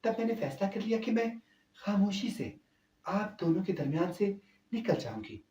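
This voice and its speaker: A man voicing a devoted, hurt young wife who confides quietly and gently in her husband, in a storytelling recording. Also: high-pitched, sing-song, normal speed, pitched above the performer's own voice. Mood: quiet, wounded. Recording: echoey, distant-sounding voice.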